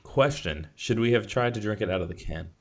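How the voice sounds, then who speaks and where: A man's voice: fairly low pitch, expressive, fairly quick, vocal fry. A man co-hosting a podcast, chatting casually in a playful, rambling way. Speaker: podcast co-host. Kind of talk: casual chat.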